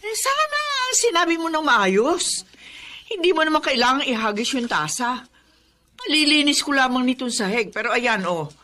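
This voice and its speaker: Caring filipino mom in a radio drama, bit high, anxious peacemaker, really expressive. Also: female voice, normal speed, full character voice. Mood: hurt, concerned. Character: patient, worried middle-aged mother, peacemaker.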